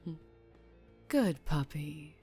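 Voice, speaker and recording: A low-pitched female mafia boss, acted by a woman in an ASMR roleplay. She takes her time over every phrase, teasing with courteous charm and veiled menace. Faint music plays behind her. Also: lively intonation, creaky, dark tone, full character voice. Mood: quietly menacing. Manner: slow, deliberate, polite with veiled menace.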